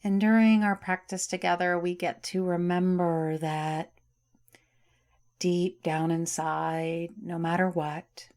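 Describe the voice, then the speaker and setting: A woman leads a yoga nidra relaxation in a soft, soothing voice. She speaks very slowly, with long pauses between phrases. Her voice sits a little low for a woman. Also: flat delivery. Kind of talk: guided relaxation meditation.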